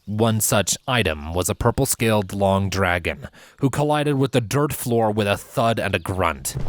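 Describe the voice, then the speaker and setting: A man reading a fantasy story as narrator, in an expressive, measured way with emphatic drawn-out phrases. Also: on the low side, a little hiss or hum. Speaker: fan fiction narrator. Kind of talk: scripted dramatic story narration. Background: background music under the voice.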